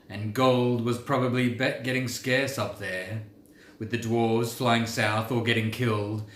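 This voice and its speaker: A proud old dwarf king, voiced by a man reading aloud, speaks with a deep voice and a slow, weighty cadence. His pitch sits mid-range for a man. The recording is roomy, with a faint hiss of background noise. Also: fairly bright tone, natural voice.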